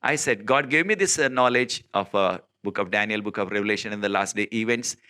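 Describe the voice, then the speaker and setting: A man from South India whose first language is Telugu, preaching in an earnest, emphatic way, with many filler pauses and sudden rises in pitch. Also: sharp tone, clean tone. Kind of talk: informal recorded sermon.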